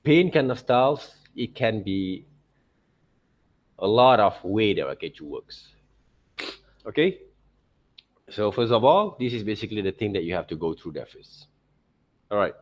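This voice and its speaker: A man narrating an informal art software tutorial as a teacher, in loosely phrased non-native English, often checking in with short tag words. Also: on the low side, very animated, unhurried, pauses often. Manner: repeats check words like okay and right.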